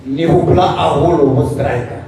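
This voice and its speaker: A man paying tribute at a memorial service, speaking in short phrases with pauses for an interpreter. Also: on the high side, noisy background. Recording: very echoey hall, far mic, audience murmur.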